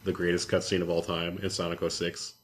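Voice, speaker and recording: A man's voice: low-pitched, monotone, brisk. A young man co-hosting a podcast in casual gaming chat, sardonic and prone to profane rants. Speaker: podcast co-host.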